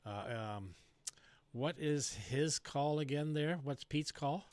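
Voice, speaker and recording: A man's voice: on the high side, slow, darkish tone, slight background noise. A man hosting a casual amateur radio chat from his home station, thinking aloud in an easygoing way while he adjusts his settings.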